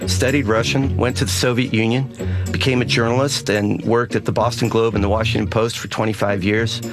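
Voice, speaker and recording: A man's voice: medium pitch, brisk, in a large hall, music in the background. Middle-aged man telling a story. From Massachusetts, USA. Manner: vivid, animated when recounting action. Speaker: journalist and news editor. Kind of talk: personal storytelling.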